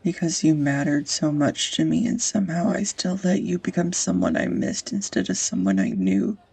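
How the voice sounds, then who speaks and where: Woman acting as nervous young woman, audio roleplay, husky, creaky, halting confessional delivery, tender, close-miked. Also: low voice, lively intonation, darkish tone, exaggerated voice, poor audio. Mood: regretful. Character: anxious, rambling, tender young woman reuniting with old sweetheart. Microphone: close USB studio microphone.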